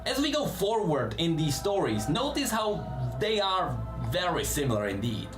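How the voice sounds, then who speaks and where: A man's voice: a little high, sing-song, normal speed, music in the background. A man narrating fantasy lore as scripted, dramatic storytelling, with explanatory asides.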